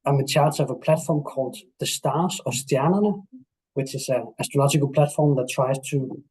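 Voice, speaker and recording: A man from Denmark, a full-time astrologer, introducing himself calmly in a video meeting. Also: mid-range voice, normal speed, husky, rough recording. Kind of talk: casual self-introduction.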